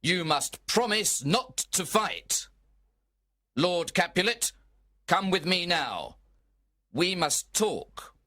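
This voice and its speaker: A man voicing an angry, commanding prince in a storybook, speaking in a stern, slow, emphatic way. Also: high voice, sing-song, pushed and bright, voice pushed up, exaggerated voice. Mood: stern anger. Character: angry, commanding prince scolding feuding old lords. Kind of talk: storybook dramatization.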